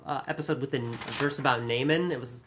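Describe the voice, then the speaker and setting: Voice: mid-range voice, fast, fairly bright tone, a little hiss or hum. Casual, sweary podcast co-host. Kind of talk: casual review chat.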